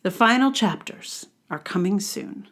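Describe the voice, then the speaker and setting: A woman hosts a casual solo podcast at an unhurried pace. Her pitch sits in the middle for a woman. She is wry and rambling, working out her thoughts as she goes. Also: expressive, fairly bright tone. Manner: wry, rambling, thinking aloud. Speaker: podcast host.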